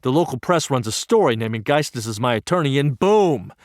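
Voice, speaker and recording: An actor narrating as an earnest young student, telling his story in an earnest, wry and lively way. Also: mid-range voice, a little fast, no echo, clean studio sound, lightly acted. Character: earnest young Japanese American male student. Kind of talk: one-man stage play narration.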